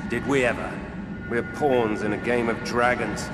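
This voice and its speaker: A man playing a proud, sardonic dark elf clan lord in a fantasy game, wry and commanding. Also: mid-range voice, steady tone, a little slow, very noisy, lightly acted. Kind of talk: fantasy game cutscene acting. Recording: loud music behind the voice.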